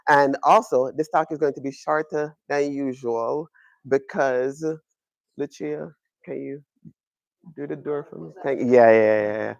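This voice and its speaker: A man's voice: mid-range voice, measured pace, vocal fry, no echo, lo-fi sound. A man in his thirties with a Jamaican accent, an astronomy professor giving an upbeat, chatty public lecture in an observatory room. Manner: upbeat, chatty, joking with the audience.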